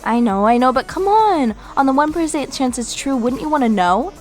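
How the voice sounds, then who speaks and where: Woman acting, high-pitched teenage girl, bold, eager, slangy, radio play, soft music behind. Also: lively intonation, moderate pace. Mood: eager, coaxing. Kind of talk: audio drama dialogue.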